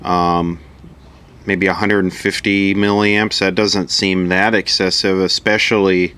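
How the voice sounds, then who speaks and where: Man, deep voice, bright forceful tone, informal repair tutorial, matter-of-fact, thinking aloud. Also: level tone, a little slow, clean tone. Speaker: electronics repair instructor.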